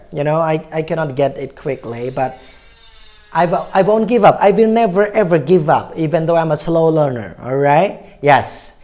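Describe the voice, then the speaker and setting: A man's voice: fairly high pitch, a little mellow. A man with a Cambodian accent teaching English phrases in a casual, repetitive way, often checking in with "all right?". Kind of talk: casual spoken lesson.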